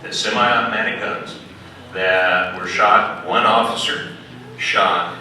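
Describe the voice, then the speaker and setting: A man giving a halting, deliberate public briefing as an official presenting case facts. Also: sits low, fairly even intonation, sharp tone. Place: community center meeting room, far from camera mic. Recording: echoey hall, distant mic, background noise.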